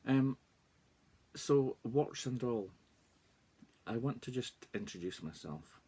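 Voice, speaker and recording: A man's voice: mid-range voice, lively intonation, slow, warm tone, slightly rough. A Scottish man in his forties, a life coach, speaking candidly and reflectively in a personal vlog. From northeast Scotland. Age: 40s.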